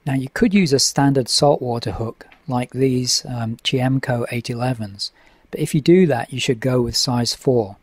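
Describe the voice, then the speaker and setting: A middle-aged man talking through a fly-tying demonstration in a relaxed, methodical way at his home tying desk. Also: mid-range voice, normal speed, slightly rough, no room sound. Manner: relaxed, methodical, with frequent uh fillers. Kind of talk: instructional voice-over.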